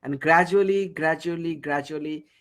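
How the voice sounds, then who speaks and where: High-voiced Indian English trainer. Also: male voice, moderate pace, fairly bright tone. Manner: asks the class questions, repeats words for emphasis. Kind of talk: live online workshop lecture. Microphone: video call.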